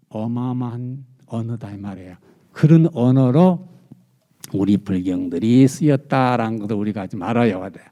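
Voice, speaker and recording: A man's voice: medium pitch, mellow. A male Buddhist monk giving a dharma talk, explaining eagerly with rhetorical questions and repeated key words. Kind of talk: sermon. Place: temple dharma hall.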